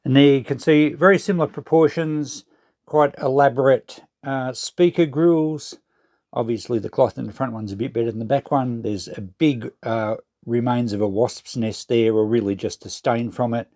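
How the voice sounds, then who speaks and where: A man's voice: mid-range voice, normal speed, darkish tone, no echo, studio quality. An Australian man casually narrating as a vlog host, musing and thinking aloud as he goes. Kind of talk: casual narrated walkthrough of a shed clean-out.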